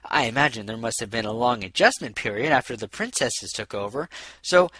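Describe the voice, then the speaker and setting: A man's voice: medium pitch, lively intonation, a little fast, sharp tone, no room sound. A man voicing a nervous, bookish young female unicorn from a storybook, hesitant and earnest. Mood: earnest, curious.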